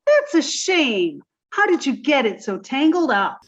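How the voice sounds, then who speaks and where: A woman's voice: sits high, big pitch swings, a little slow, muffled recording. A woman voicing a scatterbrained, sweetly dim young woman in an amateur reading of a 1950s radio comedy. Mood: dizzy, cheerful. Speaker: amateur radio play actor.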